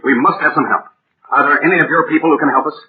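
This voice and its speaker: An actor playing a decisive, courteous American aviator hero in a 1930s radio drama, with a brisk, clipped manner. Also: male voice, fairly high pitch, fairly even intonation, slight background noise, natural voice. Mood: urgent. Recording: tinny, band-limited 1930s radio recording.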